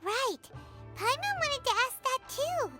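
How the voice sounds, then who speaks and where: A woman voicing a small, chirpy fairy companion girl in a game, with a high, bubbly voice. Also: big pitch swings, slow, full character voice. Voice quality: high, bubbly, refers to herself by name. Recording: studio game dialogue with background music.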